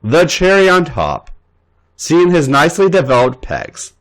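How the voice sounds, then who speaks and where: A man's voice: fairly high pitch, sing-song, measured pace, rough recording. A man reading erotic fan fiction aloud as a podcast host, playful and theatrical.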